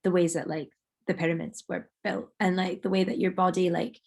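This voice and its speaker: Woman artist, low flat voice, slightly croaky, rambling casual chat. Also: a little fast. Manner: rambling, many fillers. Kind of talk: casual conversation.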